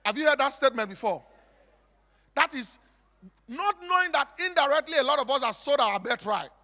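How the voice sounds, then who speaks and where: A man with a Nigerian accent and a strikingly high, bright voice tells stories in a preacher's style. He drives points home by repeating them and throwing questions at his listeners. His words ring through a hall with long reverb. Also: expressive, moderate pace. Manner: emphatic, repetitive, with rhetorical questions. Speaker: stage speaker and comic storyteller. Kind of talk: animated preaching-style storytelling to an audience.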